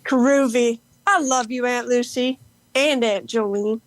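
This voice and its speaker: A woman acts out a cheerful, affectionate hippie in an audio play. The voice is high and dry, gushing with love and peace. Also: fairly even intonation, a little slow, no echo, natural voice. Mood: warm, groovy. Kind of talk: amateur audio play acting.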